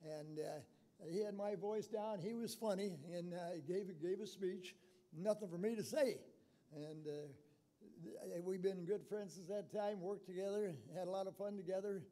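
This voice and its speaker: An elderly man with a raspy, rather high-pitched voice speaks at an award ceremony. His tone is mellow and soft-edged. He delivers an informal acceptance speech, plain-spoken and halting as he reminisces. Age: 80s. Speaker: retired coach, award inductee.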